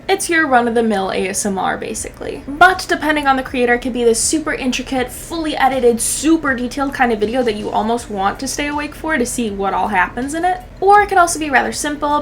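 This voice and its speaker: Twenties girl youtuber, highish voice, chatty n sarcastic, swears a lot, slight noise behind. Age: 20s. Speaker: YouTube commentary host. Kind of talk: casual comedic commentary.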